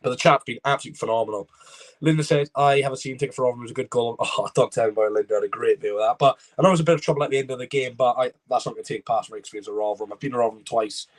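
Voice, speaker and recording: A young man with a Welsh accent talks through a football match on a live stream. His commentary is casual and loose. He drifts between the action on the pitch and replies to his chat. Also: very fast. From Wales. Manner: rambling, chatty, reads viewer comments aloud.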